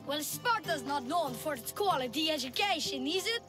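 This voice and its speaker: A cocky young boy tour guide in a video game, selling with nonstop confident patter. The voice sits very high, and game music runs clearly underneath. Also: lively intonation, moderate pace. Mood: salesy.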